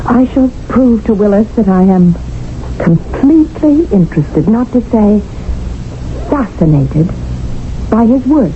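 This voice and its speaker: An actress playing a prim, earnest English spinster in colonial India who talks in a stiff, precise way. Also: female voice, mid-range voice, lively intonation, measured pace, close to an everyday voice. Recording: dull 1970s radio broadcast with faint noise.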